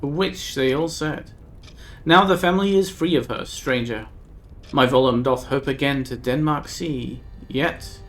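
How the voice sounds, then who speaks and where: A man's voice: mid-range voice, measured pace, a bit bright, natural voice. A man voicing a cryptic, fierce northern woman who speaks in old-fashioned thee and thou, reading a text game aloud on a live stream. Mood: sly.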